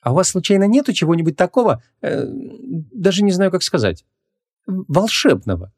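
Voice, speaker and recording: Young woman, acted by a male reader: sarcastic, easily annoyed, expressive swings in pitch, dry close storybook sound. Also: fast, no room sound. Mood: awkward, hesitant. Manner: hesitant when unsure, biting when annoyed. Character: awkward, sarcastic, hot-tempered young woman.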